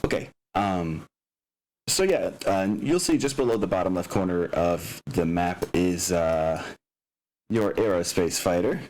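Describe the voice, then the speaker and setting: A man talking casually and haltingly as game master, explaining the setup of a tabletop game session. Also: sits low, animated, darkish tone, poor audio. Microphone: open microphone picking up room sounds.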